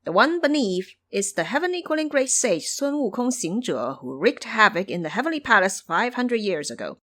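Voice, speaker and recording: Woman playing stern guardian god, animated delivery. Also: medium pitch, moderate pace, dry recording, pitched above the performer's own voice. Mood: stern, warning. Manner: emphatic, explanatory. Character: authoritative male guardian god, stern, knowing, cautioning.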